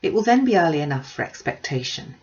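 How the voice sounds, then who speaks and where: A woman reading a storybook and voicing a thoughtful, sympathetic young woman with an earnest, measured manner. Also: sits low, sing-song, a little mellow, highs cut off. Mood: guarded.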